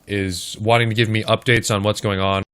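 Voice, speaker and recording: A man hosting a live esports stream, casting matches in casual chat and talking straight to the viewers, his voice a little hoarse. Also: on the low side, level tone, a little fast, fairly bright tone. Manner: chatty, talks straight to the chat. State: slightly hoarse. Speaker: esports caster and stream host.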